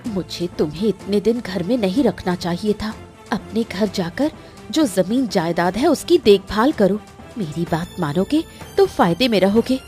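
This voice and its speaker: A woman's voice: mid-range voice, expressive, moderate pace, warm tone, soft background music, lightly acted. A woman voicing a cunning, ruthless, self-assured schemer of a wife in a Hindi cartoon story. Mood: bossy, smug. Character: scheming, cunning, ruthless wife plotting against her in-laws.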